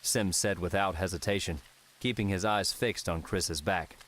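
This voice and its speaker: Casting: male narrator for an adventure audiobook, low-pitched voice, scripted storytelling delivery. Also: moderate pace, a bit bright, slight background noise.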